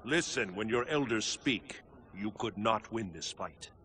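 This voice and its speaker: Deep, solemn elder mentor. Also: male voice, animated, pushed and bright, a little hiss or hum. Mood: stern. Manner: measured, solemn counsel. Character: wise, protective male elder mentor, solemn and calm. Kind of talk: dramatic video game acting.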